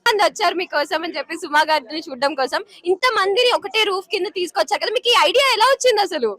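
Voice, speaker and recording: A woman's voice: extremely high pitch, racing, a crowd in the background. A woman doing an upbeat event interview in an enthusiastic, gushing manner. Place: busy expo event.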